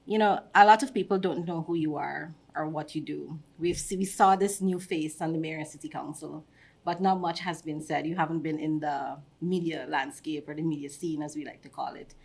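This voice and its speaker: A woman's voice: sits low, steady tone, normal speed, creaky, a little mellow, slightly rough. A woman hosting a podcast interview, giving polished, welcoming introductions. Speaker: podcast host.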